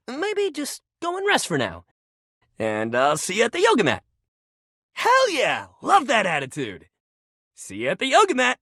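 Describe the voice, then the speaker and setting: Game role: an upbeat gym-bro coach, very animated, supportive, dry close sound. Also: male voice, very high voice, dry recording, heavily acted. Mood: excited. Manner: peppy, encouraging, casual bro slang. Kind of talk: video game character voice lines.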